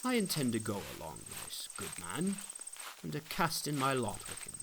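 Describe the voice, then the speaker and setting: A man voicing a mild, easily persuaded male neighbour, gentle and conciliatory, in a dramatic storybook reading. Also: mid-range voice, huge pitch swings, moderate pace, creaky, background noise. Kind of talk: dramatic reading of an old allegory.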